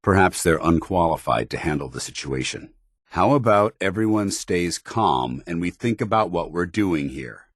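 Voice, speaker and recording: An American actor playing a stern, principled military paladin in a video game, with clipped, formal, authoritative diction. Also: male voice, deep voice, animated, moderate pace, husky, dry recording, studio quality. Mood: tense, commanding. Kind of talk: video game companion dialogue.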